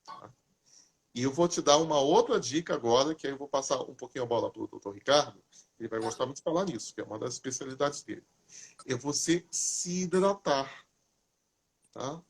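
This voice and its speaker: A man hosting a casual live talk, chatty and lively, asking questions and answering them himself. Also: medium pitch, brisk, pauses often, breathy edge. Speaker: host. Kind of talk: casual live talk giving diet tips.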